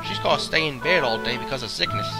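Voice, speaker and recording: A man playing a calm, practical engine driver in a fan audio drama. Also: mid-range voice, quick pace, lightly acted. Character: steady kindly male engine driver. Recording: loud background music.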